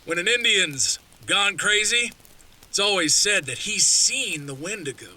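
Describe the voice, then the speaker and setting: A man playing a hearty backwoods man in a horror audio drama, with folksy rural phrasing and a storyteller's pauses. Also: sits high, lively intonation, pushed and bright, full character voice. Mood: ominous storytelling. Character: gruff, hearty backwoods man retelling wilderness legends.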